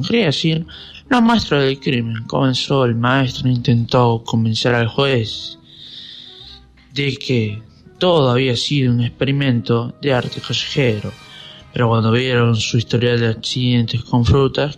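A young man with a Buenos Aires accent narrates a comic radio play. His medium-pitched delivery is sarcastic and mock-dramatic, with faint music behind. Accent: Buenos Aires Argentine. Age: teens.

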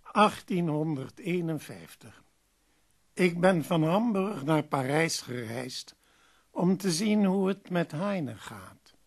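The polite, canny visiting publisher of a period radio play, husky and unhurried as he does business at a bedside. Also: a little high, expressive, heavily acted. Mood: measured narration. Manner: measured, businesslike. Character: courteous, shrewd male publisher visiting sick author. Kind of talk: radio drama narration and dialogue.

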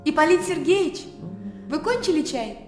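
An actress playing a lively, naive young Russian gentlewoman in a radio drama, talking in an animated, girlish way. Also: high-pitched, moderate pace, over music. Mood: cheerful, inviting. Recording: echoey hall-like reverb, clear background noise.

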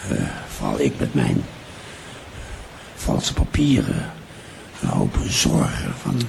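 A man's voice: extremely low pitch, wildly swooping pitch, slow pace, creaky, a little mellow, slight background noise, full character voice, poor audio. An actor playing a mischievous elderly father who rambles, repeats himself and hesitates, in an improvised radio drama. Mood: hesitant, curious.

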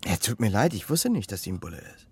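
An actor playing a defensive, evasive criminal suspect in a naturalistic radio crime drama, hesitating and justifying himself. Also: male voice, fairly low pitch, sing-song, fast, a little mellow, slightly rough, no room sound, heavily acted. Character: defensive cornered criminal suspect, excuse-making, volatile man.

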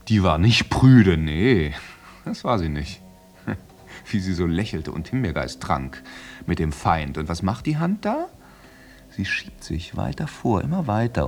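Volatile, low-voiced tormented jealous soldier. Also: male voice, gravelly, full character voice. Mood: tense, obsessive. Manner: theatrical, volatile, shifting between murmurs and outbursts. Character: jealous, tormented soldier brooding over his unfaithful lover. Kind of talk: radio play dramatic monologue.

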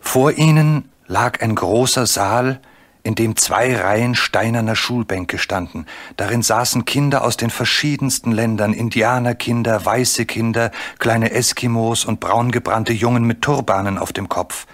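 A man narrating a children's story from a script, with measured, dramatically drawn-out phrasing. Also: mid-range voice. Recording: clearly noisy old recording.

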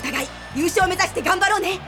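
A woman voicing a spirited, determined boy soccer player in a cartoon, earnest and energetic. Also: very high voice, quick pace. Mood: friendly, earnest. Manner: earnest, energetic, shouting to teammates. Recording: music behind the voice.

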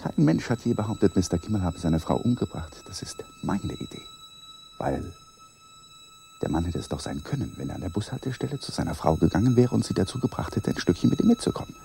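Brooding husband in a radio play. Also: male voice, very high voice, huge pitch swings, brisk, dark tone, slight background noise, full character voice. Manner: brooding inner monologue, restrained. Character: uneasy, suspicious husband. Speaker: husband, Walter. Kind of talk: 1980s German radio play acting.

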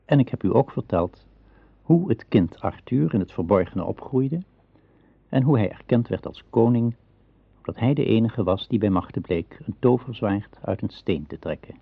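A man's voice: lowish voice, lively intonation, normal speed, creaky, husky, no echo. A man narrating a radio drama as a scripted storyteller. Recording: dry, tinny old radio recording.

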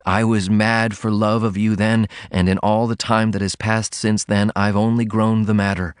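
A man's voice: fairly low pitch, little pitch movement, normal speed, clean tone, no room sound, studio quality, lightly acted. A man playing an ardent young man madly in love, earnest and fervent, in audiobook dialogue.

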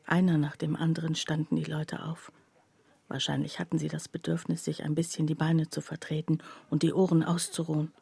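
Radio play: reflective, loyal friend telling the story, soft dark husky tone, dry studio sound. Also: female voice, sits low, monotone, brisk, dry recording, exaggerated voice. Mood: weary, confessional. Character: reflective woman narrator, loyal, envious friend of accused mother. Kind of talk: first-person radio play narration.

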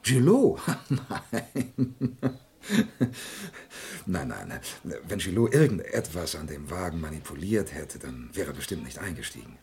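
A man's voice: very deep voice, very animated, a little slow, darkish tone, heavily acted. An actor playing a lonely, bitter antique dealer in a radio crime drama, stammering and theatrical in his agitation. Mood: desperate. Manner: agitated, stammering, theatrical, swinging between murmurs and outbursts.

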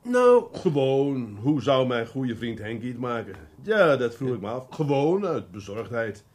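An actor playing a shrewd, teasing police detective with a Dutch accent, putting needling questions with feigned innocence in a crime drama. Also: male voice, medium pitch, sing-song, unhurried. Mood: needling, mock-friendly. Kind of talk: television crime drama acting.

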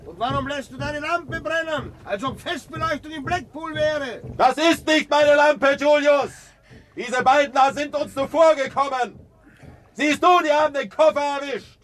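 Rufender Ganove vom Boot. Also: male voice, very high voice, normal speed, low-quality recording. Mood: angry. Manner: shouting, rough. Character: gruff male boatman thug shouting from afar.

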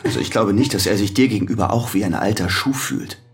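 A man's voice: fairly low pitch, lively intonation, fast talker, breathy edge, exaggerated voice. An actor playing a numbed, embittered male prisoner in a radio drama, talking in a wry, detached way. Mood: probing. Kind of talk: radio drama acting with inner monologue.

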